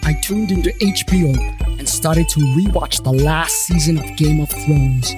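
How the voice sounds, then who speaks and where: Guy narrating a funny first person story, wry and irreverent, loud guitar music behind him. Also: fairly high pitch, a little slow. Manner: wry, irreverent, rambling asides to the listener. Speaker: storyteller narrator and host of a paranormal investigations series. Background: plucked guitar music.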